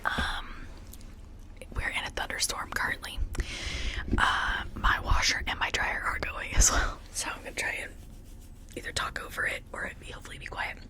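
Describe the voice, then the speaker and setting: A woman speaking in a breathy, unvoiced whisper, rambling casually and confidingly in short, halting phrases as an ASMR host. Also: sharp tone.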